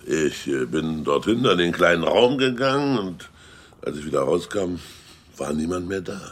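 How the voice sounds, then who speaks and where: Gruff, flustered gun dealer for radio drama: very low, raspy voice. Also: male voice, big pitch swings, moderate pace, heavily acted. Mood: eager. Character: flustered, gruff male gun shop owner. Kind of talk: German radio play acting.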